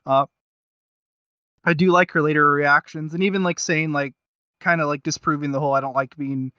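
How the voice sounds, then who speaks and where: A man with a slightly high voice chats casually as a podcast host. He gropes for his point in a halting, self-deprecating ramble. The sound is very dry and a little dull. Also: fairly even intonation, pushed and bright, no echo. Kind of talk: casual review chat.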